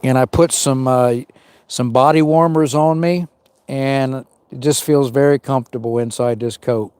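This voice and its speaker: A man's voice: mid-range voice, steady tone, moderate pace, darkish tone, smooth, dry recording. A man hosting a camping livestream outdoors in the cold woods, sniffling as he chats with viewers and greets them by name. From Arizona. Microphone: small mic worn on him.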